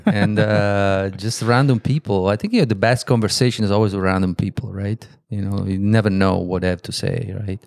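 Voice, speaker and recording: A man's voice: on the low side, steady tone, a little fast, gravelly, hardly any pauses, darkish tone, dry recording. A man with an Italian accent, chatting casually as he interviews his friend, with loose, rambling sentences full of "you know". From Italy. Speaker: guest interviewer. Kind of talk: casual conversation.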